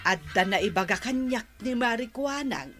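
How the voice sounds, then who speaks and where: A woman's voice: a little high, animated, moderate pace, loud music behind the voice, lightly acted. A woman playing an eager, matchmaking village wife in a comedy radio drama, with an Ilocano accent. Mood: eager, gossipy.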